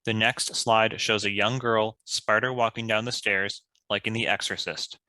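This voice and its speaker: A male narrator reading out script action. His voice is fairly low and forceful in tone. It is recorded dry, with no room sound. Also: level tone, normal speed, no room sound, poor audio.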